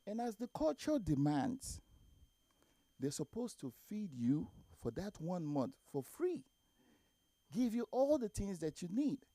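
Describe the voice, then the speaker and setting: A man with a Nigerian accent from Nigeria, doing a stand-up set and telling a story at a deliberate pace, with animated quoted voices. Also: sits high, no echo. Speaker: stand-up comedian. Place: comedy club stage.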